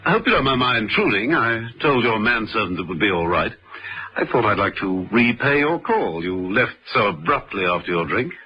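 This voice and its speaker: An actor playing a suave British gentleman agent in a radio drama, urbane, polite and nonchalantly probing. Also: lowish voice, very animated, moderate pace, a little hiss or hum, rough recording. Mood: politely probing. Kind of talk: vintage radio drama acting.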